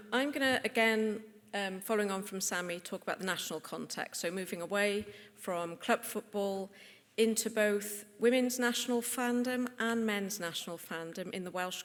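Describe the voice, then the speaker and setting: Woman presenting her research, um-ing a lot, bit low voice, big echoey hall. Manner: lively, with "um" and "kind of". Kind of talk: conference presentation.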